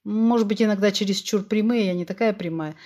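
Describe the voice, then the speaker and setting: A woman's voice: medium pitch, a little fast. A woman around sixty from Russia, a podcast guest telling her story frankly and with animation, often acting out remembered dialogue. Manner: frank, animated, tells anecdotes with quoted dialogue. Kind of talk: candid conversational storytelling.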